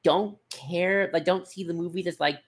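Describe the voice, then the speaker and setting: A man in a casual podcast discussion, talking in a halting, rambling way and often circling back to rephrase. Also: sits high, steady tone, breathy edge. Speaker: podcast co-host.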